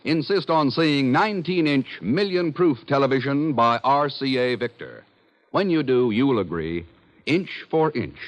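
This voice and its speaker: A man's voice: mid-range voice, expressive, measured pace. A man announcing in scripted 1950s radio style, polished and emphatic. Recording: dull old radio recording, faint music.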